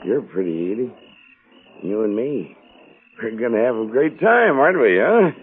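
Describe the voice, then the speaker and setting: An actor playing an amiable, trusting, tipsy crook with slurred speech, in 1940s radio drama style. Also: male voice, mid-range voice, animated, normal speed, husky. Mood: tipsy, cheerful. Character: boozy, trusting, amiable male crook celebrating. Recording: muffled, faintly noisy 1940s radio recording.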